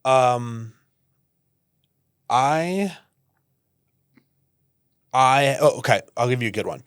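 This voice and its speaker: A man's voice: medium pitch, drawn-out words, clean tone, dry recording, studio quality. Chatty man, guest on a podcast. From Northeastern United States. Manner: animated, laughs often, lots of "like" fillers. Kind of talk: casual conversation.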